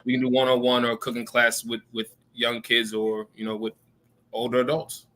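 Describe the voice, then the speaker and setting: Bright-voiced chef. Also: male voice, medium pitch, completely flat delivery, fairly quick, a little hiss or hum. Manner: friendly, earnest, explains simply. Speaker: private chef guest. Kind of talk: explaining on a live stream.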